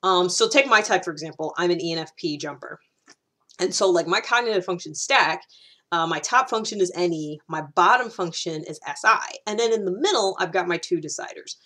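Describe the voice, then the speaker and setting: A woman's voice: lowish voice, brisk, sharp tone. A woman giving a casual, explanatory monologue as a video host, conversational and often rephrasing or repeating herself.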